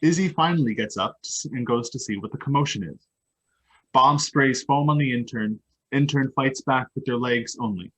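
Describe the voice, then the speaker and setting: A man's voice: mid-range voice, normal speed, a bit bright, low-quality recording. A man reading out stage directions as scripted narration at a play table read.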